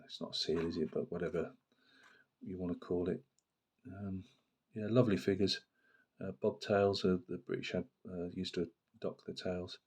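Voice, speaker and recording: A man with a slight cold and a hoarse voice, chatting casually about his hobby to camera and rambling with many fillers and restarts. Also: low-pitched, steady tone, moderate pace, darkish tone. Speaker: miniature painting channel host.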